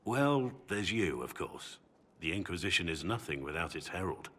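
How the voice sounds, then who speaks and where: A man playing a brooding, honourable middle-aged warrior in a video game, with measured, earnest delivery. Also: low-pitched, sing-song, sharp tone, slightly rough, exaggerated voice. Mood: earnest, reflective. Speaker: video game character voice actor. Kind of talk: dramatic game dialogue.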